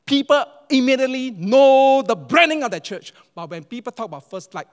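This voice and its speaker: A man with a Singaporean English accent preaching a sermon as pastor, animated and emphatic, repeating phrases for effect. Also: very high-pitched, brisk. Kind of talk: sermon to a congregation. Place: church auditorium. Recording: long reverb of a large church hall.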